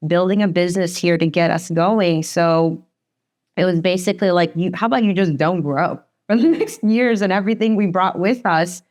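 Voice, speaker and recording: A woman in her forties, a divorce attorney telling her story as a podcast guest, talking fast and chatty with frequent laughs and a slight Northeastern American accent. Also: on the low side, darkish tone. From Sweden. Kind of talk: candid podcast conversation.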